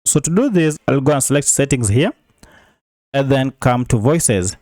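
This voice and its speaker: A man presenting a software tutorial, narrating step by step in a plain instructional way. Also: medium pitch, expressive, moderate pace, no room sound, clean studio sound.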